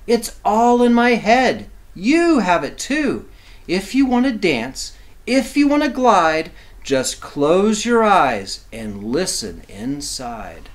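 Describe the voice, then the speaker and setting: A man voicing a confident, dreamy young dancing pig in an expressive, encouraging storybook delivery. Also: high-pitched, slow pace, voice pushed up, exaggerated voice.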